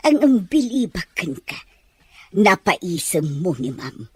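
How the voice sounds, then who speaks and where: A woman's voice: on the low side, sing-song, extremely slow, slightly rough, no echo, heavily acted. An actress playing an older female household servant in a radio drama, kindly, teasing and given to grumbling. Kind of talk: Filipino radio drama acting.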